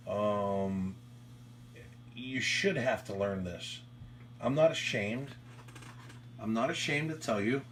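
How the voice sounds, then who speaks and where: Slow, low-voiced man chatting on radio. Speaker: amateur radio operator. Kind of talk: casual radio chat. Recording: faint hum and background noise.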